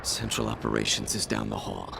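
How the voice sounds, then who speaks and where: A worn-out alien captive in a video game, heavily creaky and lively in pitch. He sounds strained yet dryly sardonic, with clear noise behind him. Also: brisk, exaggerated voice. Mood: weary, wry. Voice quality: gravelly. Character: weary, wry captive male alien in pain.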